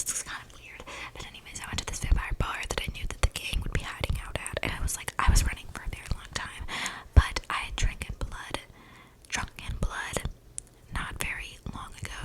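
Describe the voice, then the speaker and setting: A woman voicing an eccentric, flippant young vampire in a breathy ASMR whisper, rambling in casual slang close to the microphone. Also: natural voice, poor audio. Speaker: ASMR roleplayer. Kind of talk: whispered ASMR storytelling roleplay. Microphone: close microphone picking up breaths.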